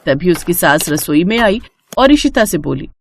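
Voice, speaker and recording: A woman's voice: lowish voice, big pitch swings, moderate pace, vocal fry. A woman narrating a scripted bedtime family story as the storyteller.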